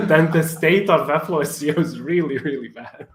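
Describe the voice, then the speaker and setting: A man's voice: a little high, a little slow. A man hosting a weekly livestream, friendly and modest, chatting casually over a video call. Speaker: livestream host. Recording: echoey room.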